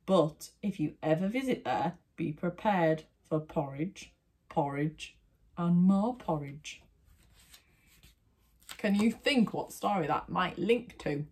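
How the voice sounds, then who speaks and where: A woman telling a children's story, expressive and deliberate, like a primary teacher. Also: fairly low pitch, husky.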